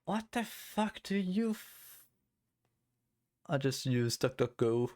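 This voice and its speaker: A man's voice: on the high side, sing-song, slow, darkish tone. A man from Denmark with a Danish accent, chatting casually on a live stream while he codes, blunt, swearing often and laughing.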